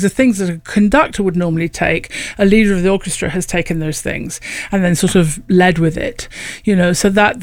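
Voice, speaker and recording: A woman's voice: mid-range voice, no room sound. A woman from Lincoln in England with a northern English accent, chatting as an opera singer guest in a radio studio interview, laughing often. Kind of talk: relaxed radio interview chat. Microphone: studio microphone.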